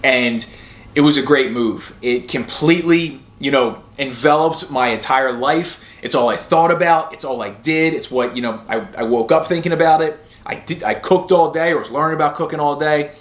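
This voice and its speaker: A man's voice: a little high, normal speed. A middle-aged man giving a casual, candid motivational talk drawn from personal experience, as the host of a recovery podcast. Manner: conversational, candid. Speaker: host of a sobriety podcast and video channel. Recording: roomy echo.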